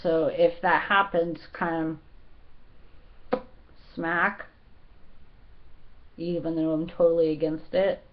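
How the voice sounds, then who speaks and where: A woman talking casually through a makeup tutorial, rambling and halting with many fillers, her voice slightly hoarse. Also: low-pitched, flat delivery. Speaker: beauty vlogger. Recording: dull lo-fi home recording.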